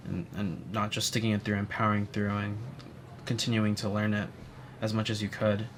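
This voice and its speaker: A young man, telling a personal story in a reflective, soft-spoken way with many pauses and "um"s. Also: lowish voice, level tone. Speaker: interviewee. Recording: faint ambient noise.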